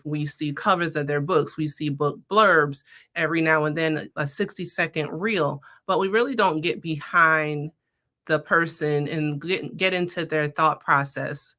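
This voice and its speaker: A woman's voice: deep voice, steady tone, a little slow, sharp tone. A woman speaking as a podcast guest, giving casual, conversational answers in an explanatory, anecdotal way.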